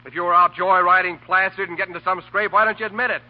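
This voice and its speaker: Actor as fast-talking, brazen newspaper editor. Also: male voice, sits high, fairly even intonation, close to an everyday voice. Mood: indignant. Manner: glib, bossy. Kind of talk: 1940s radio acting. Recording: dull, noisy 1940 radio broadcast.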